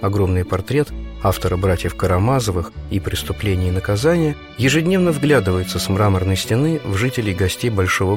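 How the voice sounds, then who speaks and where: A man hosting a radio book segment, reading his scripted commentary in a measured, reflective way. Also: on the low side. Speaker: radio host. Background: music.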